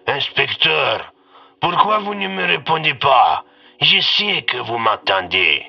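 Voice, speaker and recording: Sadistic, falsely polite male villain, acted by a man, gravelly, theatrical drawl, muffled radio sound. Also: medium pitch, very animated, voice pushed up, heavily acted. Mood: taunting. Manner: slow, theatrical, taunting. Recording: band-limited radio sound with music.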